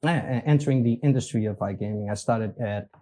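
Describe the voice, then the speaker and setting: Portuguese-accented male guest, deep mellow tone, laid-back and rambling. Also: quick pace. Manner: easygoing, meandering, chuckles at himself. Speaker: podcast guest, company executive. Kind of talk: casual interview conversation.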